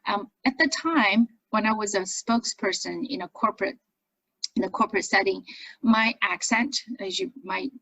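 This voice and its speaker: Casting: middle-aged Chinese-accented businesswoman, husky voice, dry close sound, animated storyteller. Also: mid-range voice, normal speed, dry recording, poor audio. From China. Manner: lively, animated storytelling with frequent restarts. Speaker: business founder and accent coach. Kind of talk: interview storytelling.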